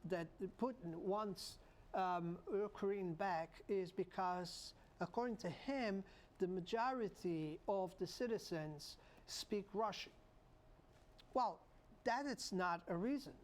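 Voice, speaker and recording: A man from Portugal, co-hosting a casual political chat and often pausing to search for words. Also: high-pitched. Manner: halting, searching for words, repeats himself. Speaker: talk show co-host.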